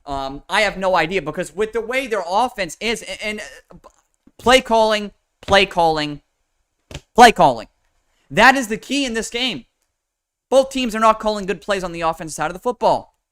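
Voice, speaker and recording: A young man with a nasal, fairly high voice that comes across sharp and forceful. He rambles through casual live football commentary, swearing freely and filling gaps with ums and restarts, each word smooth and crisp on a desk mic. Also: animated, normal speed, pauses often. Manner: rambling and profane, with many fillers and restarts. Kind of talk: casual livestream sports commentary. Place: home room with a fan. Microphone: desk microphone with noise suppression.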